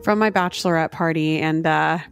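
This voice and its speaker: A woman hosting a true crime podcast in a chatty, upbeat way, her voice hoarse after a weekend of karaoke. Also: deep voice, fairly even intonation, fairly quick, soft background music. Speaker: podcast host.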